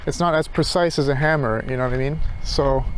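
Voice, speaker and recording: A man rambling casually and reflectively in a halting monologue as he walks outdoors, thinking aloud. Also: medium pitch, steady tone, slight background noise, rough recording. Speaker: philosophy tutor vlogger. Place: outdoors, walking.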